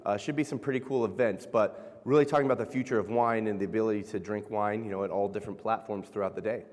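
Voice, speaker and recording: A man's voice: lowish voice, steady tone, fast, darkish tone. A man speaking as moderator of a conference chat, easygoing and filler-heavy. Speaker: fireside chat moderator and presenter. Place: large conference hall. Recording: long hall reverb.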